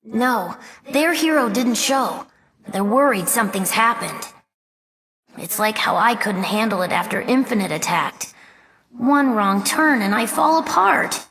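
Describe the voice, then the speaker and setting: Young fox boy, high boyish voice, animated intonation, earnest, brainy, articulate, video game sidekick. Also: heavily acted. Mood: troubled, self-doubting. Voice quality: youthful, boyish. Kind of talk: video game character voice lines.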